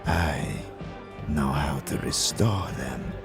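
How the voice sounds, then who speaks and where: Man acting a very deep, slow, sly alien warrior. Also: full character voice. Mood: intense, enticing. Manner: deliberate, formal, theatrical phrasing. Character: sly, menacing Githyanki warrior offering memory bargains. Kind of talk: fantasy audio drama acting. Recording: music playing behind the voice.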